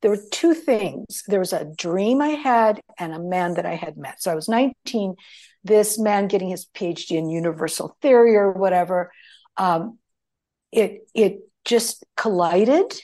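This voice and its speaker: A middle-aged woman whose first language was Greek tells her story in an unhurried, measured way. She wanders through her thoughts and stops to restart often. Her voice sits at an ordinary pitch. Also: animated, mellow. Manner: rambling, many restarts and fillers. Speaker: guest. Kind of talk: conversational storytelling.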